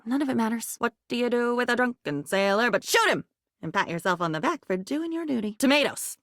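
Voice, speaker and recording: A high-pitched, unhinged young-sounding game heroine, lonely and dreamy. Her intonation leaps and dips as she rambles in scattered, theatrical non sequiturs. The voice is recorded close and dry, with a volatile temper underneath. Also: animated, a little fast, a bit bright, dry recording. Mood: scattered, cryptic. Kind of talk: video game character voice acting.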